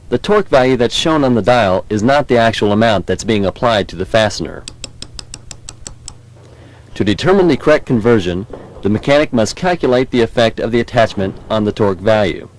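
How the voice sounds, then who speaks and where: A low-pitched American man narrates an instructional film. His delivery is measured and formal, explaining each step as written in a script. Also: darkish tone, rough recording. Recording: 1990s VHS training film soundtrack.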